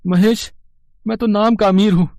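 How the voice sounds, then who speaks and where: Man playing earnest moral-tale male character, high pitch, story dialogue, dry sound. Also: fairly even intonation, normal speed, darkish tone, no room sound. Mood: remorseful.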